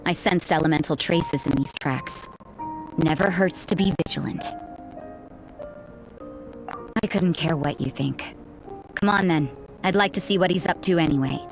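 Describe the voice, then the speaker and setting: A woman's voice: low-pitched, moderate pace, rough recording. An actress playing a cool, cynical woman who patrols for threats, speaking in a dry, dismissive and suspicious way. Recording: backing music.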